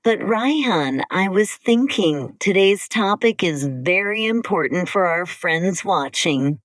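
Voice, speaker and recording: A woman co-hosting an English-learning podcast, speaking slowly and carefully in simple scripted sentences. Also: fairly low pitch, expressive, no echo. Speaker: podcast co-host.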